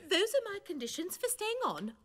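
A woman's voice: extremely high pitch, big pitch swings, brisk, fairly bright tone, exaggerated voice. A woman playing a confident, earnest young cartoon academic, precise and assertive. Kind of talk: cartoon drama acting.

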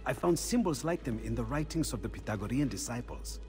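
Man acting eccentric middle-aged scholar, courteous, expressive lively pitch, game cutscene, music behind. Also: fairly quick, close to an everyday voice. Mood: musing. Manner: courteous, expressive, self-deprecating. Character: eccentric aging Renaissance inventor and painter, excitable scholar.

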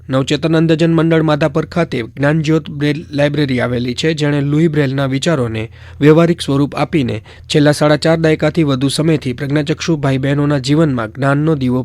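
A man's voice: a little high, steady tone, fast talker, darkish tone, dry recording. A man with a Gujarati accent reading scripted news as a presenter.

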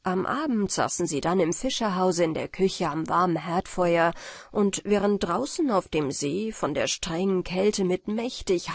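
A woman narrates a bedtime fairy tale in a measured, expressive way. Her pace is slow and her pitch moves a lot. The recording is close and dry. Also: slightly rough, no echo. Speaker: audiobook narrator.

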